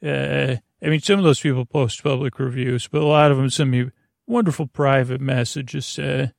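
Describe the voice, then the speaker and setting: A man with a notably creaky, fry-laden voice at an average male pitch hosts a sleep podcast. He meanders and stops mid-thought to start again, drifting off on digressions. The sound is dry, as from a small closet at home. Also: fairly even intonation, no room sound. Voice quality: creaky, gravelly. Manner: halting, digressive, constantly restarting mid-thought. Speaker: host of a bedtime sleep podcast. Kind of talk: rambling, meandering bedtime monologue.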